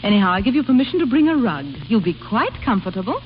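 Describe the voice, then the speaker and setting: A haughty, imperious grand duchess in an old radio comedy. Her voice is fairly high and very expressive, used to giving orders. The recording is muffled and aged. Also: fast talker, a little hiss or hum. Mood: imperious, indignant. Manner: imperious, commanding. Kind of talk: 1930s-40s American radio comedy acting.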